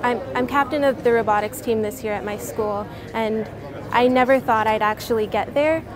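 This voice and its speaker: A teenage girl talks eagerly about her project, her voice a touch high and full of casual fillers. She speaks into a lapel mic, and a crowded convention hall murmurs clearly behind her. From New Orleans, Louisiana. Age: teens. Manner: eager, chatty, many "you know" fillers. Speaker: science fair student. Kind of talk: casual interview answers.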